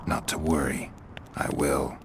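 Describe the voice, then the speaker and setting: An actor playing a stoic monster hunter in a video game, low and gravelly, with a laconic, deadpan, dry delivery. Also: male voice, a little slow, a little hiss or hum, full character voice. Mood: resolute.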